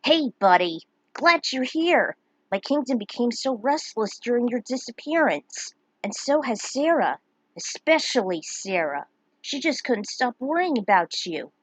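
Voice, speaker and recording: A woman reads as a young nobleman in a fantasy story, chummy and caring. The delivery is unhurried and lightly husky. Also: fairly high pitch, sing-song, dry recording, full character voice, poor audio. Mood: cheerful. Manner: friendly, concerned. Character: young nobleman, hero's friendly, chummy male buddy.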